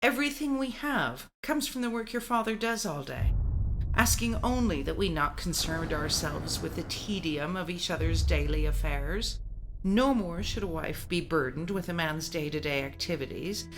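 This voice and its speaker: A woman's voice: on the low side, lively intonation, moderate pace, background noise. A woman acting in a radio play as a stern, resigned, dutiful mother who lectures in formal speech. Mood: lecturing. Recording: music bed under the voice. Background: prominent music.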